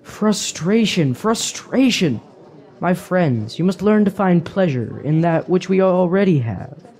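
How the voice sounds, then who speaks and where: A carefree young pleasure-seeker , acted by a man, with a mellow, lightish voice. He teases and philosophizes in wry, flowery lines from a fantasy radio drama. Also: sits high, very animated, unhurried, heavily acted. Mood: teasing. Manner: languid, wry, flowery phrasing. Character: carefree young hedonist drinker, teasing and philosophical.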